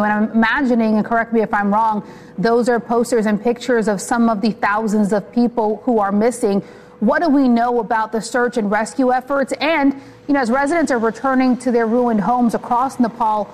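A woman anchoring a news broadcast, reading the lead and questioning a live guest in a measured, sympathetic way. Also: fairly high pitch, a little hiss or hum. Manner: measured, scripted delivery with a sympathetic tone toward the guest.

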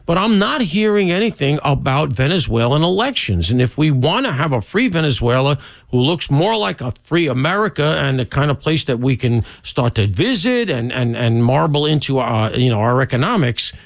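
A man's voice: a little high, big pitch swings, fairly quick, vocal fry, dry recording. A male radio host interviewing a guest on a political talk show, chatty and opinionated.

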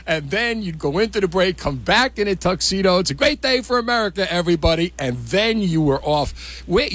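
A man interviewing in a casual, chatty way, enthusiastic and admiring of his guest. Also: a little high.

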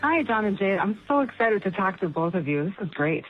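A woman's voice: mid-range voice, very animated, a little fast, breathy edge, faint music behind. A woman in her late forties calling an advice show, speaking in an emotional, hesitant way with many fillers. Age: late 40s. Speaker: caller. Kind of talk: phone call to a radio show. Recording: phone line.

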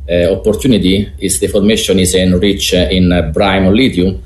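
A man with a thick Italian accent, a native Italian speaker, explaining as an expert guest in a halting, hesitant way. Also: deep voice, level tone, darkish tone. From Italy. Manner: halting, hesitant delivery with throat clearing. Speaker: geologist and professor, interview guest. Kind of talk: explanatory interview answers. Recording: echoey room with faint noise.

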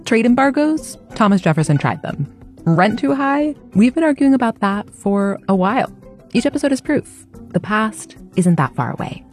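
A woman's voice: fairly high pitch, very animated, moderate pace, a little mellow. A woman hosting a podcast, reading a scripted promo. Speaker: podcast host. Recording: faint music bed behind the voice.

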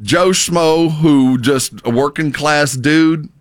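A man's voice: on the high side, slow pace, dry recording. A middle-aged man co-hosting in casual banter, emphatic and folksy, joking as he talks.

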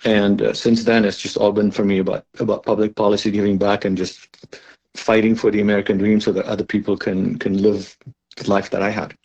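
A man in his sixties from Lebanon, a guest in a conversational radio interview, speaking in a halting way with repeated words. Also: fairly low pitch, little pitch movement, gravelly, darkish tone, slightly rough. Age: 60s. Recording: dull lo-fi remote connection.

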